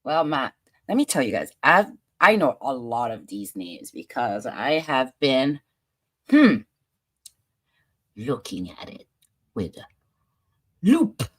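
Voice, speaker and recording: A woman's voice: very deep voice, wildly swooping pitch, slow pace, pauses often, breathy edge. A woman with a French accent, hosting a casual commentary show and chatting playfully about news clips. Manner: chatty, playful, laughs and muses aloud. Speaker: host.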